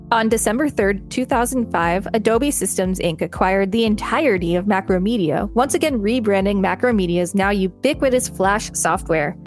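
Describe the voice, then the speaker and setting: Woman co-host, clear upbeat reader, mid pitch, reads articles aloud with faint music under her. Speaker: podcast co-host. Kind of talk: reading aloud with commentary.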